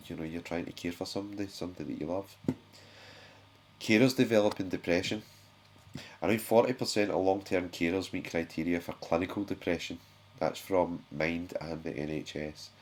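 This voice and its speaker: A man's voice: sits low, steady tone, moderate pace. A man with a sore throat, hosting his own channel and talking casually to camera, with many fillers and restarts. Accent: British. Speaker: YouTube channel host.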